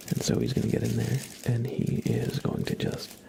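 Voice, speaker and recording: A man whispering and speaking softly and breathily as an ASMR livestream host, gentle and halting, with frequent pauses. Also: wildly swooping pitch, slight background noise. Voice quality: breathy whisper mixed with low soft voice. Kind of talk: whispered ASMR, part role play, part casual chat.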